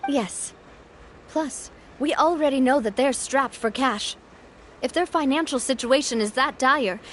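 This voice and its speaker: A woman's voice: sits high, slight background noise. A woman playing a confident, gracious young leader in a video game, composed and breezy. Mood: earnest. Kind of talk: video game character acting.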